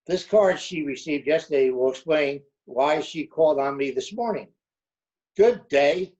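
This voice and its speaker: A man's voice: on the high side, measured pace, darkish tone, close to an everyday voice. A man playing a stern, offended, formal visitor in an old-time radio comedy. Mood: curt. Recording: dull, muffled remote call recording.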